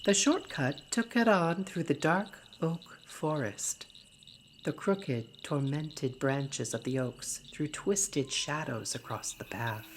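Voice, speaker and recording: Low-voiced woman reading a story. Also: lively intonation, slow pace, background noise. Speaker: story reader. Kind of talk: scripted literary narration.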